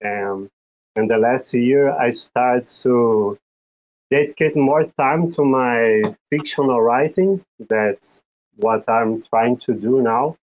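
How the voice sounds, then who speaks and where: A man from Brazil whose first language is Portuguese, speaking English with a Brazilian Portuguese accent in a halting way, searching for words and often restarting. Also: medium pitch, darkish tone, poor audio. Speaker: guest, psychologist and writer. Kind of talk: casual introduction in an audio chat.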